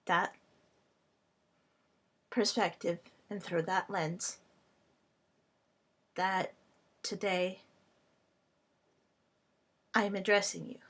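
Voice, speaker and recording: A woman whose first language is Hungarian, a language tutor speaking to camera in a personal vlog, in short, halting phrases. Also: mid-range voice, fairly even intonation, a bit bright.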